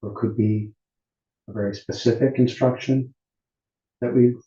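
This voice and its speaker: Calm guy leading meditation, really soft dark tone, slow w long pauses, echoey muffled room sound. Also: medium pitch, slight background noise. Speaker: meditation teacher. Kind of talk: guided meditation instruction at a retreat. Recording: muffled, roomy echoing sound.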